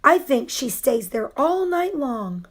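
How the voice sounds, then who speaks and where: An earnest little schoolgirl, put on by a woman reading aloud, speaks slowly in a high voice. She is curious and insistent, like a character in a children's storybook. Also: sing-song, heavily acted. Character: curious young schoolgirl, sure of her theory.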